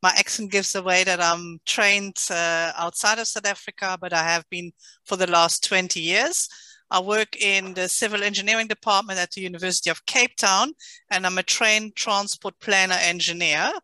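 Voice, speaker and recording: A woman academic introducing herself on a panel, thoughtful and deliberate. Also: mid-range voice, fairly even intonation, sharp tone, dry recording, rough recording. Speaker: panelist, transport engineering academic.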